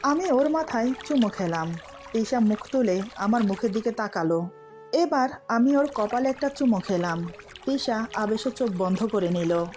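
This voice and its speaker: A woman's voice: fairly high pitch, expressive, moderate pace, a little mellow, faint music behind. A woman reading a scripted romantic story as its narrator.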